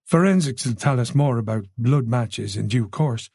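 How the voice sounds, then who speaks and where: A narrator voicing a calm, protective male senior detective with an Irish accent, reasonable and soothing, in an audiobook. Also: mid-range voice, normal speed, slightly rough, no room sound, clean studio sound. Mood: grave. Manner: steady, soothing, easy and unhesitating.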